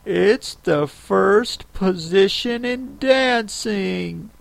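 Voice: medium pitch, extremely slow, gravelly, no echo, voice pushed up. An audiobook reader voicing Alice, a polite, timid young girl who speaks hesitantly. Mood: puzzled. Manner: hesitant and trembling.

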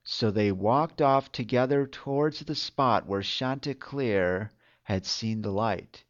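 A man narrating a fairytale as a storyteller, with expressive delivery. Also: medium pitch, slow pace, lo-fi sound.

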